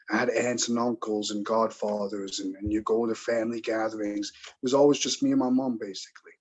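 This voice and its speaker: A man in his forties from England, answering interview questions in a measured, reflective way that halts now and then. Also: medium pitch, poor audio. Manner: measured, reflective, halting at times. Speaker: guest.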